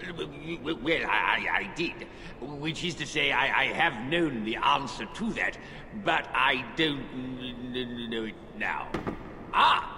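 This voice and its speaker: Stammering flustered old portrait. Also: male voice, medium pitch, expressive, slow pace, pushed and bright, big-room sound, slight background noise, exaggerated voice.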